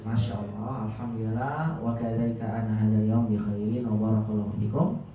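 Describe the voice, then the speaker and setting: A man's voice: fairly low pitch, steady tone, a little fast, background noise. Man teaching in an echoey mosque. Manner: formal, recites Arabic prayers between Indonesian. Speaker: Arabic teacher. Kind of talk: religious class opening with prayers. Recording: echoey room, distant mic, muffled.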